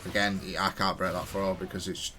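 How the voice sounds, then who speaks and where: Low-voiced man analysing his gameplay. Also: little pitch movement, quick pace, sharp tone, soft background music. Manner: self-correcting, analytical, thinking aloud. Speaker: video game player and content creator. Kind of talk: casual gameplay commentary, analysing own match.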